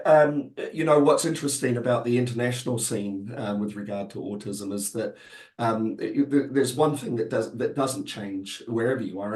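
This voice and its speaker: Casting: croaky, hesitant man from New Zealand on a video call, echoey room. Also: lowish voice, a little mellow. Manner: hesitant, many fillers and restarts. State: croaky, recovering from illness. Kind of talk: webinar interview answers.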